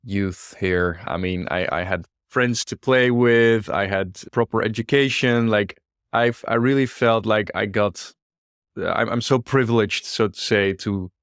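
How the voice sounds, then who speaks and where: A young Dutch man with a Dutch accent, a startup founder chatting as an interview guest, relaxed and laughing softly. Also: fairly low pitch, a little slow, clear, resonant tone, no room sound, clean studio sound. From Netherlands. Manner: relaxed, frequent restarts and soft laughs. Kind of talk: casual interview chat.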